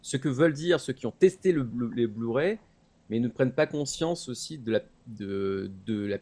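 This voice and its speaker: Guy, medium pitch, chatty panelist talking through details informally. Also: expressive, moderate pace, mellow. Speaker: panelist on an anime talk show. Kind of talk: casual explaining and discussion.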